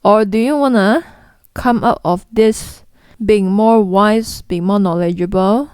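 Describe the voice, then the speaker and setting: A woman's voice: mid-range voice, slow pace, a little mellow, clear, resonant tone, no echo, clean studio sound. A young woman with a Singaporean accent, explaining investing mistakes to camera in a blunt, chatty way. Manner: blunt, chatty, mimics people in quotes. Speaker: investing vlogger.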